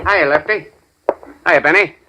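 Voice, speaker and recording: A man's voice: a little high, a little slow, lo-fi sound, background noise, lightly acted. An actor playing a volatile, sneering crime boss with menacing tough-guy slang in a 1940s radio drama. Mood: sneering, commanding. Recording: 1945 radio broadcast.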